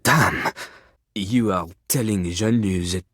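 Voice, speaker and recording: A man's voice: lowish voice, slow pace, fairly bright tone, no echo, studio quality. A man voicing a boastful, theatrical rapping toilet from a video game, with a French accent and a grandiose way of talking. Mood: moved, impressed. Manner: pompous, grandiose, refers to himself in third person. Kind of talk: animated video game character acting.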